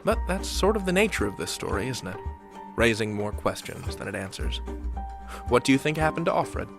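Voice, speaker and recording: A man with a mid-range voice narrates as a podcast host, with music playing clearly behind him. He is wry and talks straight to the listener in a scripted style. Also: medium pitch, sing-song, a little fast. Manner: wry, addresses listener directly.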